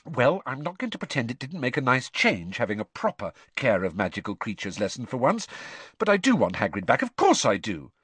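Guy doing a smart teen girl voice, way higher, earnest, dry sound. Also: big pitch swings, normal speed, sharp tone, dry recording, heavily acted. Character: clever, earnest teenage girl.

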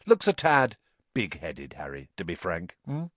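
A jovial, pompous celebrity teacher, acted by a man, booming and pleased with himself. His pitch swings broadly with patronising flourish, in a dry audiobook recording. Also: wildly swooping pitch, a little slow, dry recording, heavily acted. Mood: paternal, smug. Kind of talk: audiobook character voice.

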